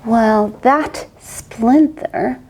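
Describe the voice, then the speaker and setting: Brief: high-voiced woman doing an unhurried, lively read-aloud for young kids. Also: rough recording. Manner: coaxing, asks the listener questions. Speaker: children's story reader. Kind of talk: interactive read-aloud for children.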